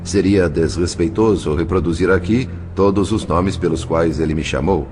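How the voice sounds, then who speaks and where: A Brazilian man playing a composed spirit narrator in an audio novel, speaking in a formal, articulate, measured way. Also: low-pitched, natural voice. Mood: grave, composed. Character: composed male spirit narrator recounting his undercover mission. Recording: music behind the voice. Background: music.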